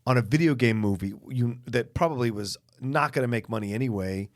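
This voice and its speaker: A man's voice: mid-range voice, fairly quick. A man co-hosting a podcast in casual back-and-forth, readily agreeing and chiming in. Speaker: podcast co-host. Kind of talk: casual banter.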